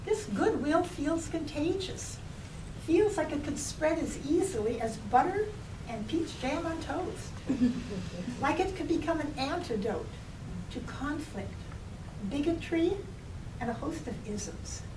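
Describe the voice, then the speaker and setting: A woman poet reading her own work to an audience, phrasing it in a measured, deliberate way. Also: expressive. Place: public library reading room. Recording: roomy, distant mic, noisy with rumble.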